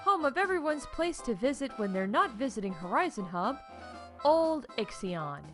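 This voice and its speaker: A woman playing a mock-salesy sponsor announcer in a parody commercial, with drawn-out emphasis and deadpan punchlines. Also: a little high, music in the background. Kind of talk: parody commercial read, scripted.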